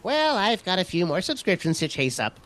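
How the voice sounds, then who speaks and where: A man's voice: high-pitched, sing-song, fairly quick, a bit bright, a little hiss or hum. A man playing a chatty, eager, party-loyal neighbour and proud father in an audio drama. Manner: busybody, boastful, jovial.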